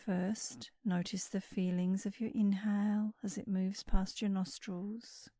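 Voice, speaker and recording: Slow, mellow woman guiding sleep meditation. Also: mid-range voice, flat delivery, dry recording, studio quality. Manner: soothing, unhurried, with breathy phrase endings. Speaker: guided meditation instructor. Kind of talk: guided sleep meditation narration.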